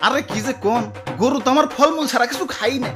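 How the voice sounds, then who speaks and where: A sly cattle farmer, acted by a man patters in a high, hurried cartoon voice with a Bangladeshi accent. He is greedy and brazen, wheedling like a born swindler. Faint music plays behind. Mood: brazen boasting. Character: greedy, sly male cattle farmer, smooth-lying salesman. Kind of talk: cartoon comedy dialogue in colloquial dialect.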